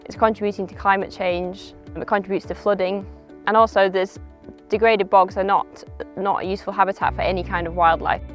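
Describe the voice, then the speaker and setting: A woman's voice: medium pitch, fairly even intonation, fairly quick, soft background music. A young woman who trained as a conservation apprentice, speaking in an earnest, matter-of-fact way in a documentary.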